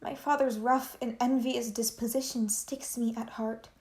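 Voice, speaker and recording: A woman playing a gentle, tender-hearted young princess in a Shakespearean radio play, with earnest, formal diction. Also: on the high side, steady tone, normal speed, close to an everyday voice. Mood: earnest, kind.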